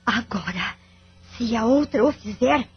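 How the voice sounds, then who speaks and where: A woman's voice: a little high, unhurried, dull audio, soft background music. A woman acting in an audio drama with a Brazilian accent, playing a bitter, wounded wife and devoted mother in formal, melodramatic phrasing. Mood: cold, resigned.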